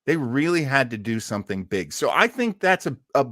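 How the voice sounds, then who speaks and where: A man with a mid-pitched voice hosts a casual online discussion. He explains and comments conversationally, working out his thoughts aloud. The sound is close and dry, with very little room in it. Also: very animated, fairly quick, fairly bright tone, dry recording. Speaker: host of an online radio-history show.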